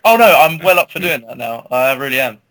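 Guy on a gaming podcast, bright forceful voice, opinionated, casual. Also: medium pitch, very animated, a little fast. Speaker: podcast co-host.

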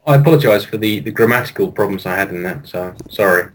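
A man's voice: on the low side, fast talker, a little hiss or hum, low-quality recording. A man co-hosting a podcast, bantering casually with friends in a jokey, sweary manner. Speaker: podcast co-host. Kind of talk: casual banter among friends, with a dramatic reading.

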